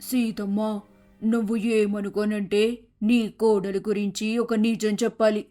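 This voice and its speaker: Woman playing a scheming gossip, flat-toned. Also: mid-range voice, measured pace, soft background music, natural voice. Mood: insinuating. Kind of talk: acted moral-story dialogue.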